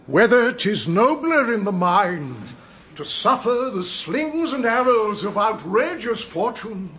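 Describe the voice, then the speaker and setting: Slow, high-pitched mannered old Hamlet. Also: male voice, very animated, fairly bright tone, a little hiss or hum, heavily acted. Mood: grand, brooding. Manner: mannered, grandiose. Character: ageing mannered matinee idol declaiming Hamlet on stage. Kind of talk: declamatory Shakespearean stage acting.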